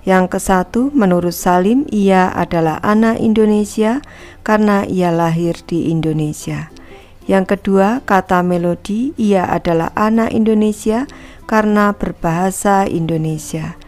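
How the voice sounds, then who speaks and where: A woman's voice: mid-range voice, a little slow, a little mellow. A woman teacher who reads a textbook lesson aloud to schoolchildren and explains each part patiently, speaking to the class directly. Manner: calls herself Ibu and addresses the class as anak-anak.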